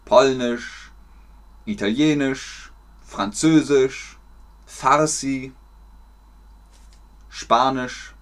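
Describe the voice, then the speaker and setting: A man teaching German in a live online lesson, encouraging and clearly articulated. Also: mid-range voice, a bit bright.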